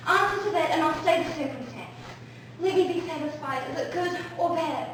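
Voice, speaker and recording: A woman's voice: high-pitched, fairly quick, a bit bright. A woman playing an eager, impatient teenage girl in love, declaiming and pleading in Shakespearean stage style. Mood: exasperated. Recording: very echoey, distant, noisy stage recording.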